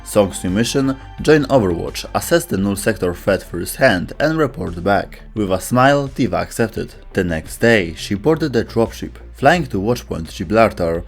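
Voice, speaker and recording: A man's voice: lowish voice, expressive, a little slow, gravelly, few pauses, soft background music. A man reading scripted narration as a video host.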